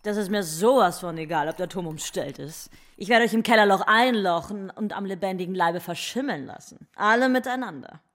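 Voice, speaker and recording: A woman's voice: medium pitch, a little fast, hardly any pauses, a bit bright, close to an everyday voice. A woman playing a vain, hot-tempered villainous boss in a children's radio play, imperious, scornful and theatrical. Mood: menacing.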